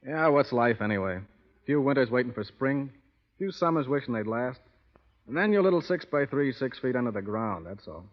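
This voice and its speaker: An actor playing an easygoing, fatalistic cowboy turned rustler in a 1930s radio western, with laconic folksy talk. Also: male voice, mid-range voice, lively intonation, normal speed, lightly acted. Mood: wistful. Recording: muffled old radio broadcast recording.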